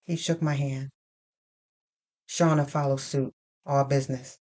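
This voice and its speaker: Female narrator for scripted drama: deep register, unhurried, deliberate first-person storytelling. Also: steady tone, darkish tone.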